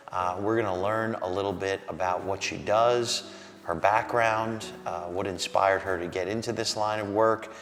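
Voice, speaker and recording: A man hosting a workplace interview series, asking curious, probing follow-up questions. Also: lowish voice. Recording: echoey hall with music behind.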